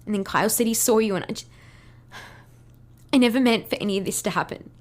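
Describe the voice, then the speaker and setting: A woman's voice: medium pitch, fast, lightly acted. A woman playing an earnest, determined team leader in an audio drama. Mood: tearful, remorseful. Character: Vanessa, brilliant, guilt-ridden woman leading the team, determined. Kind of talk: audio fiction acting.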